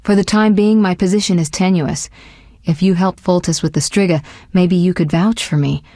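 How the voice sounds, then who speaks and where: A woman's voice: lowish voice, normal speed, mellow, no room sound, studio quality. A woman voicing a self-assured, sly sorceress in a video game, speaking in poised, articulate, formal phrases with a teasing edge. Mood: earnest, informative. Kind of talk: scripted video game dialogue.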